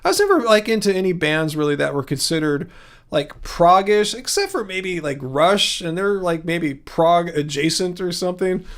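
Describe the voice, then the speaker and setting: A man's voice: high voice, expressive, normal speed, a bit bright. A man hosting a livestream, chatting casually and rambling as he reads and answers viewer comments, with frequent restarts and chuckles. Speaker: livestream host.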